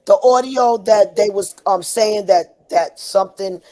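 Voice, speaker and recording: A woman from New York City speaks in a middle-pitched voice as a guest caller. Her talk is casual and familiar, full of terms of endearment. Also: measured pace, dark tone. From Harlem, New York City. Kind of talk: casual chat.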